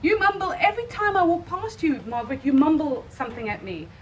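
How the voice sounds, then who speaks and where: High-voiced woman arguing at her door, loud and firm, phone pointed away so she sounds a bit distant. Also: very animated, fast talker. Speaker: homeowner doing building work. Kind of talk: heated doorstep argument. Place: at her front door, outdoors. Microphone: phone held in hand, pointed away. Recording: distant phone recording, faint street noise.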